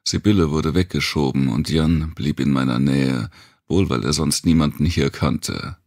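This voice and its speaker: A man with a gravelly, husky voice, giving a brooding, deliberate first-person audiobook narration of dark fiction. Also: a bass voice, dry recording, clean studio sound.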